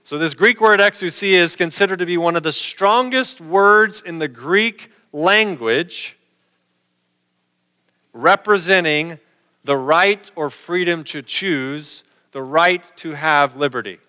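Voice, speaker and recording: A man's voice: fairly high pitch, slow, bright, forceful tone, smooth, in a large hall. A middle-aged man preaching a sermon in a conversational way, posing questions to his listeners.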